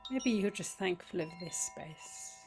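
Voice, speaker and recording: A woman guiding a yoga nidra meditation in a soothing manner, speaking in short phrases with long pauses. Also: medium pitch, animated. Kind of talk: slow guided meditation. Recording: voice over chime music bed. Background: meditation music with chimes.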